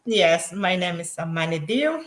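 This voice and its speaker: A woman's voice: on the low side, animated, a little slow, fairly bright tone. A woman nurse from South Sudan introducing herself with enthusiasm on a video call from home late at night.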